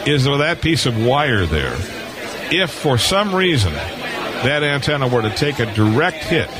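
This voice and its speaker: A man's voice: medium pitch, very animated, unhurried, a crowd in the background. An American man hosting a casual live radio chat, talking in a folksy, rambling way and repeating words. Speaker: radio show host. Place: booth on busy convention floor. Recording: noisy convention hall floor, live broadcast.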